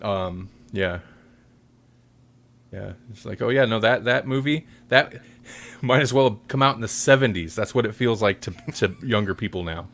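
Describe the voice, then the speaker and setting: Low-pitched man chatting casually. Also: normal speed, vocal fry, fairly bright tone. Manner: rambling, self-correcting. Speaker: podcast host. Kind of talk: casual chat.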